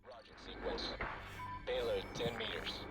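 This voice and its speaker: A man playing a calm, procedural space station controller giving radio calls in a video game. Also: medium pitch, measured pace, over loud music, natural voice. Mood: calm, businesslike. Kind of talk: radio-call game dialogue. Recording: loud mechanical background noise.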